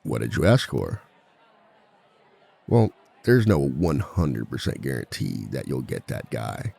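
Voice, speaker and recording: A man plays a villain seminar instructor with an extremely low, heavily creaky male voice. He is composed and dryly authoritative, polite as he addresses a class in an ASMR roleplay. The tone is dark and mellow. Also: wildly swooping pitch, a little slow, exaggerated voice. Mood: patient, dry. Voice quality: very deep, gravelly. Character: composed, dry-witted male villain teaching a relationship seminar. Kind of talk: scripted ASMR roleplay monologue.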